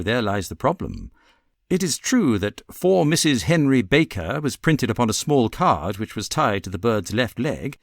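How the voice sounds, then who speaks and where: A self-assured Victorian gentleman detective, played by a male audiobook reader, with a British accent. He is precise, amused and theatrical, with pitch that rises and dips freely. The sound is dry and close. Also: no echo. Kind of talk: audiobook character reading.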